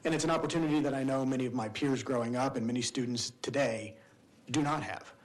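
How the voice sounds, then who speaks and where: A man's voice: medium pitch, fast talker, a little hiss or hum. A man testifying before a legislative committee as a policy advocate, speaking steadily and earnestly and weaving in a personal story. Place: committee hearing room.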